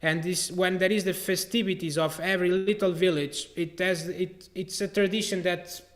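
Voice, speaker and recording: A man from Portugal with a Portuguese accent, teaching an online trombone masterclass in a relaxed, halting way. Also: high voice, echoey room. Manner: relaxed, halting, sings out note syllables to demonstrate. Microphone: video call mic.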